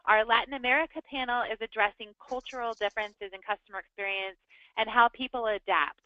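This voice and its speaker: A woman from the organizing association jumping in to give the audience brisk administrative notes. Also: fairly high pitch, steady tone, sharp tone, dry recording, lo-fi sound. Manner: brisk, friendly administrative announcements. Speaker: event organizer giving announcements.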